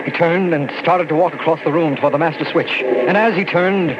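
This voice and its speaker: A man's voice: fairly high pitch, fairly quick, heavy background noise, lightly acted. An actor playing a frightened young scientist who narrates his story in a 1940s radio drama. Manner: tense, shaken storytelling. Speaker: narrator and character. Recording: dull old radio recording, with music.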